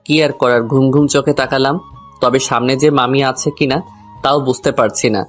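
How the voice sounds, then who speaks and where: A man's voice: medium pitch, steady tone, moderate pace. Grumbling male storyteller over music. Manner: grumbling, addresses the listener directly. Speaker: narrator and lead character of a love story. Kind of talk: first-person dramatised comic storytelling. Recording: music under the voice. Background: background music.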